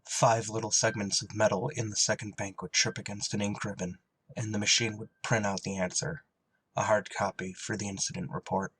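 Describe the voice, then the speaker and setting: A man playing a blunt, solemn spaceship pilot who also narrates a radio drama, deliberate and terse, with buried feeling. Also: lowish voice, level tone, fairly bright tone, slight background noise, low-quality recording. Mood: brooding narration. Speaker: actor and first-person narrator.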